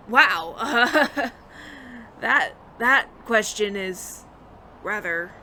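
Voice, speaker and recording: Woman performing flustered alien roommate, bright forceful tone, halting repeated words, earnest, audio roleplay, faint background noise. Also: on the high side, heavily acted. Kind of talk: intimate roleplay audio acting.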